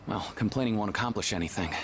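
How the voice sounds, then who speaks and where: An actor playing a casual, chatty, sincere teenage student athlete in a video game. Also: male voice, lowish voice, a bit bright. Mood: wistful.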